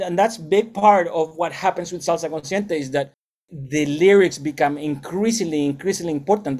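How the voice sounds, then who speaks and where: Middle-aged male music teacher, slightly high voice, measured and explanatory, restarts often. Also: a little mellow. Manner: thoughtful, lecturing, with frequent restarts. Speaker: music educator and salsa band leader. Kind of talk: explanatory interview answers.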